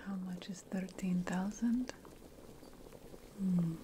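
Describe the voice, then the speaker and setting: Slow whispering woman playing an ancient witch mentor. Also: fairly low pitch, fairly even intonation, mellow, slight background noise. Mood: fussy, instructive. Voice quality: breathy unvoiced whisper. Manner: slow, deliberate, wry, theatrical. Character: ancient Mesopotamian witch mentor, teasing, fussy about plant remedies. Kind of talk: whispered ASMR fantasy roleplay.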